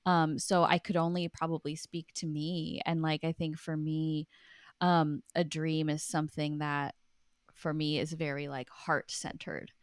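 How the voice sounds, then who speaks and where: A woman with a noticeably low-pitched voice chats as an interview guest. She tells things informally and with animation. The sound is dry and close, with little room to it. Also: little pitch movement, a little slow, no room sound. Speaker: guest author. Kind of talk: casual conversational interview.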